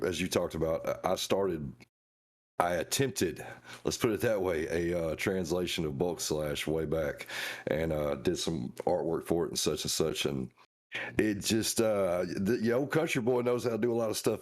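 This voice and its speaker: A man's voice: sits low, moderate pace, a little mellow. A man chatting casually on a panel as a guest creator, folksy, effusive and emphatic.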